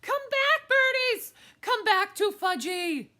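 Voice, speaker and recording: A woman's voice: very high-pitched, big pitch swings, extremely slow, sharp tone, pitched above the performer's own voice, full character voice. A woman voicing a willful, playful toddler boy in a children's audiobook, hollering in a sing-song way. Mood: excited screaming.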